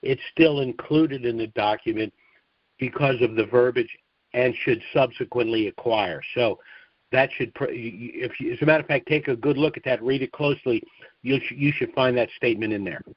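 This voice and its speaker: A man hosting a business conference call and question session, explaining things in a halting way with stammered restarts. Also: mid-range voice, breathy edge, dry recording. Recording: dull lo-fi call audio.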